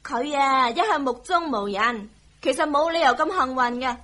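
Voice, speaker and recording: An actress playing a sassy, teasing younger sister from a wealthy family in a Hong Kong Cantonese radio drama. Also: female voice, high voice, normal speed, bright, forceful tone. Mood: cheeky, grumbling. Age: young adult. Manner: teasing, exaggerated.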